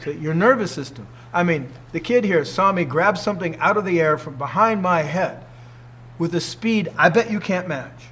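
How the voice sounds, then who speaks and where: A man's voice: sits high, lively intonation, moderate pace, a bit bright. A man in his sixties, a martial arts teacher, giving an informal talk to students, emphatic and fond of rhetorical questions. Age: 60s.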